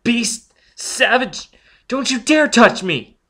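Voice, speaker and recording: Man putting on a nervous young woman, high pitched, prim, high-strung, storybook telepath. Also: sing-song, normal speed, fairly bright tone. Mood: indignant.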